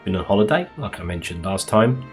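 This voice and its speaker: A man a little croaky from being sick, rambling casually through commentary on his game build with many ums and restarts. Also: deep voice, lively intonation, fairly quick, faint music behind. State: slightly croaky from illness. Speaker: Minecraft let's play host.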